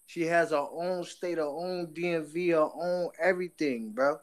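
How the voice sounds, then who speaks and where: A man's voice: on the high side, normal speed. A man in a heated informal group debate, speaking insistently and emphatically and repeating himself to drive his points home.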